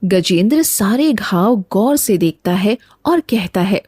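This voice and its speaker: A woman's voice: mid-range voice, animated, slow, warm tone, breathy edge, no room sound, studio quality. A woman reading scripted narration for a cartoon moral story. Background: background music.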